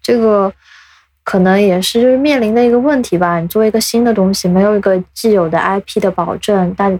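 A woman's voice: mid-range voice, fairly quick, a little mellow, dry recording. A woman hosting a casual interview, putting her questions and thoughts in a tentative, thoughtful way. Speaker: podcast host.

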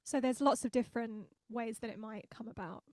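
A woman's voice: on the high side, fairly quick, no echo. Laughing woman singer in a home studio. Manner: laughs often, warm and grateful. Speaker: singer-songwriter guest. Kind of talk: casual interview chat. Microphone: studio mic.

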